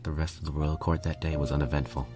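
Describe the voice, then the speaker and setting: A man narrates a piece of fiction from a script. His voice is low, and faint music plays underneath as he reads. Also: flat delivery, fast. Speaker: fan fiction reader, narrator.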